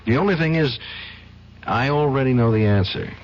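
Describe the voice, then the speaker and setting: An actor playing a troubled young defense lawyer in a radio drama, hesitant and stammering, emotionally charged. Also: male voice, mid-range voice, normal speed, close to an everyday voice. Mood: earnest. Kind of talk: 1970s radio drama acting. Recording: dull old radio broadcast, faint hiss.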